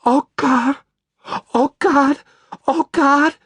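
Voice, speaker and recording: A man's voice: very high-pitched, fairly even intonation, very slow, fairly bright tone, no echo, voice pushed up, exaggerated voice. A man reading an audiobook, voicing an anxious young working woman phoning for help. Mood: horrified panic.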